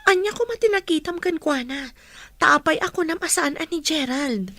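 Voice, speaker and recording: An actress plays an indignant young female student in an Ilocano radio drama. Her voice is extremely high and sounds wounded, recorded close and dry. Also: expressive, moderate pace, a bit bright, dry recording. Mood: hurt, indignant.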